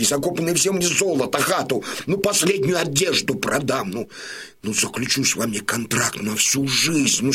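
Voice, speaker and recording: An actor playing a proud, impatient old Cossack father in an audio drama, speaking in insistent, halting, emphatic phrases. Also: medium pitch, bright, forceful tone, breathy edge, heavily acted. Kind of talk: theatrical audio drama acting.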